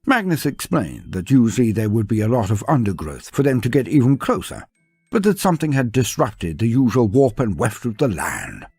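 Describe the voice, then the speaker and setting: A man's voice: medium pitch, darkish tone, breathy edge, no room sound. A man narrating a scripted fantasy story in a theatrical, florid and whimsical way. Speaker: narrator of fantasy lore stories.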